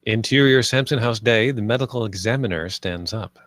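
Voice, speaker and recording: A man's voice: lowish voice, moderate pace, fairly bright tone, no room sound. A man reading stage directions as the narrator of a screenplay table read.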